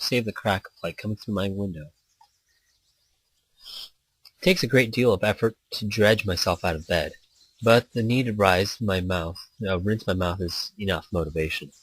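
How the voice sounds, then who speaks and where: A man's voice: low-pitched, a bit bright, slightly rough, low-quality recording. A man reading a visual novel aloud as narrator in an informal way, sometimes stumbling and rereading a line. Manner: informal, stumbles over lines and rereads them. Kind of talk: story read-aloud in a game playthrough. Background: background music.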